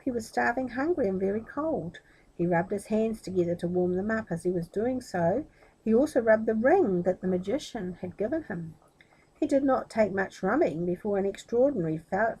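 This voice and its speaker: A woman reading a children's story aloud in an expressive, explanatory way. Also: mid-range voice, slightly rough, rough recording.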